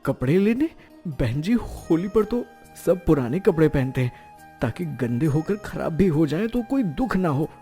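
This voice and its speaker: A man as a mellow, humble poor father. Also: on the high side, sing-song, unhurried, faint music behind. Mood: humble, polite. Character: poor, humble father and labourer, polite and worried.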